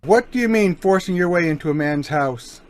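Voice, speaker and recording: Radio-play villain: suave gentleman thief, unbothered and teasing, done by a man, raised pitch. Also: animated, normal speed, no echo. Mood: indignant. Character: suave gentleman burglar, amused and unrepentant. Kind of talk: community theater radio play.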